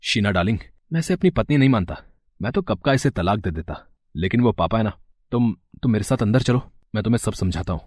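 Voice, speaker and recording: A man's voice: on the low side, expressive, quick pace, no echo, clean studio sound. An actor voicing an arrogant, spoiled young rich husband who scorns his wife in a cartoon story. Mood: fawning. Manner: scornful, harsh, shouting insults. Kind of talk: cartoon voice acting.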